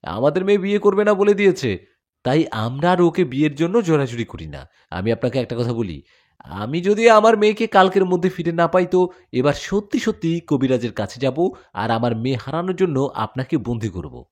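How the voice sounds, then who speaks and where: A man's voice: fairly high pitch, sing-song, a little fast, pitched above the performer's own voice. A man voicing an angry father who threatens the jinn in a spoken story. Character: angry father of a missing daughter.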